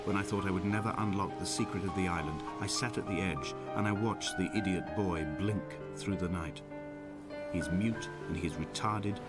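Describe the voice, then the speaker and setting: An actor playing a despairing, brooding castaway who narrates a video game in solemn, measured, poetic monologue. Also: male voice, sits low, natural voice. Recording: music loud under the voice. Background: loud game soundtrack music.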